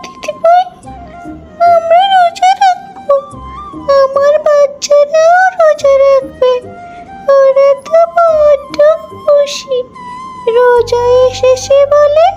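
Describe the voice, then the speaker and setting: Small cartoon bird: eager, sweet, chirpy, extremely high voice over faint music. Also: female voice, fairly even intonation, takes it slowly, pauses often, dull audio. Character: excited little mother tuntuni bird, eager and sweet. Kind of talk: children's cartoon voice acting.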